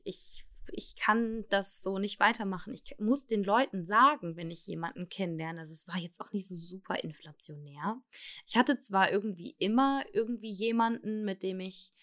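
A young woman from Germany talks through her own story in a frank, confessional way. She hesitates a lot, restarting sentences and filling pauses. Her voice sits at a middle pitch. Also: a bit bright. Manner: hesitant, halting, many fillers and restarts; candid, confessional. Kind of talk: personal testimony.

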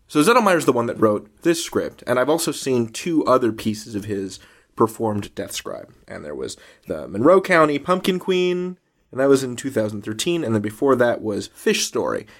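Man interviewing with very lively intonation. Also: mid-range voice, normal speed. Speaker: podcast host and interviewer. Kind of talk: conversational interview questions.

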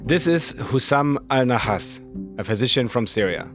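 A man hosting and narrating a documentary podcast in a measured, grave, explanatory way. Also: medium pitch, lively intonation, over music.

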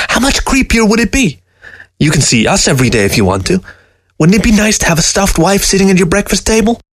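A man's voice: sits high, dry recording, voice pushed up. A man voicing a sardonic, taunting fox in a storybook reading, with a teasing, folksy manner. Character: sardonic, taunting dead fox, talking to its taxidermist.

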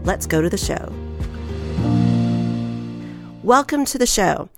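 Nasal, gravelly-voiced woman podcast host. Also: medium pitch, animated, brisk, over loud music. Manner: earnest, encouraging, reads scripture aloud. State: getting over a head cold.

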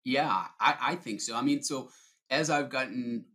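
A middle-aged man speaks as an interview guest with a bright, forceful voice at an ordinary pitch. He explains his points informally, often setting up a question before answering it. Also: unhurried. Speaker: sales leader, podcast guest.

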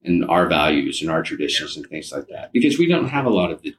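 A middle-aged man, speaking as an interview guest. He thinks out loud, halting and rephrasing as he goes, in a conversational register. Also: a bass voice, very animated, crowd noise. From Alabama, United States. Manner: halting, reflective, often rephrasing mid-sentence.

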